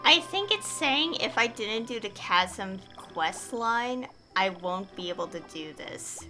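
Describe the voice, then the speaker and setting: A bright-voiced woman streams a game, talking slowly and casually. She rambles and hesitates while thinking aloud, with some game music playing behind her. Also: mid-range voice, expressive. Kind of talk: casual live game commentary.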